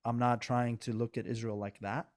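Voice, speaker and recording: A man's voice: mid-range voice, level tone, moderate pace. Earnest rambling man with a Persian accent. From Iran. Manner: earnest, rambling, many restarts. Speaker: podcast co-host. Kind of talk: casual political chat.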